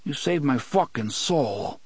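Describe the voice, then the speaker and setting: A male narrator puts on a young man close to tears, his voice pushed high and quick with bitter, pleading anger. The delivery swings widely in pitch and sounds dry and close to the mic. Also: lively intonation, husky, no echo. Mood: grieving. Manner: pleading, rapid, raised and strained. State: crying. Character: young man, desperate, angry, tearful.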